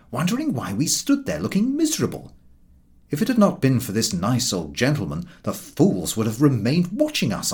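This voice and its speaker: A man reading comic literature aloud in an expressive, theatrical storytelling manner. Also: mid-range voice. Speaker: audiobook reader.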